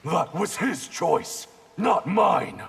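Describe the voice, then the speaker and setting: An actor playing a cold, bitter male clan leader of assassins in a video game, curt and menacing, with a very gravelly voice. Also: fairly high pitch, very animated, very slow, fairly bright tone, slight background noise, heavily acted. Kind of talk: video game character dialogue.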